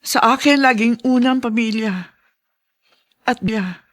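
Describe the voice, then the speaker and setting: A woman's voice: fairly high pitch, slow. An actress playing a remorseful middle-aged mother in a Filipino radio drama, speaking tenderly and apologetically with emotion. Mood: tender, reassuring. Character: remorseful middle-aged mother reuniting with her given-away daughter.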